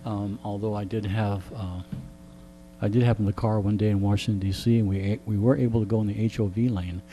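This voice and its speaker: A man's voice: on the low side, level tone, fairly quick, warm tone, slight background noise. A man talking casually as a radio interview guest, telling anecdotes with a wry, joking manner. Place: radio studio.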